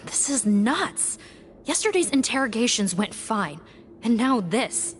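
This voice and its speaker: A woman's voice: on the high side, very animated, a little slow, background noise. A woman voicing a stern prison guard in video game dialogue, with a blunt manner. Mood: exasperated.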